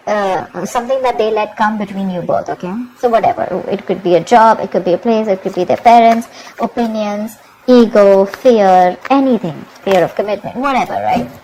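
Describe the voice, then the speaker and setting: A woman's voice: on the high side, mellow, a little hiss or hum, low-quality recording. A woman giving a tarot reading to her viewers, emphatic and repetitive, often checking in with "okay?". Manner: emphatic, repeats herself, keeps asking "okay?". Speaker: tarot reader.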